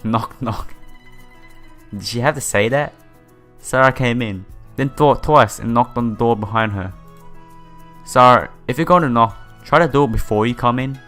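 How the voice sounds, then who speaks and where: Male let's player, mid-pitched, reads story text casually and adds sympathetic asides, music underneath. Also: normal speed, long pauses, a bit bright. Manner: stumbles over read lines, sympathetic asides. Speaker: host of a game playthrough. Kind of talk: casual let's play, reading visual novel text aloud with asides. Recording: music behind the voice.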